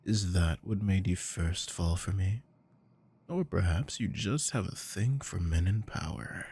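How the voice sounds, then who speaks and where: A man playing a possessive, dominant boss in an intimate ASMR roleplay, teasing and commanding in a deep, gravelly murmur. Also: a little slow, dark tone, full character voice. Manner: commanding, coaxing, low murmurs slipping into whispers.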